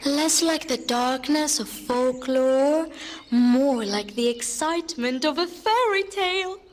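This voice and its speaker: An actress playing a lyrical storyteller, giving poetic and deliberate dramatic narration of a fairy-tale adventure. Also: female voice, high voice, slight background noise. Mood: wondering, hushed.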